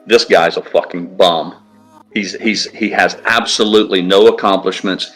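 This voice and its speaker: Man, very low voice, blunt profane rant, casual stream talk, faint background music. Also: fairly even intonation, moderate pace, a bit bright. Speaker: livestream co-host.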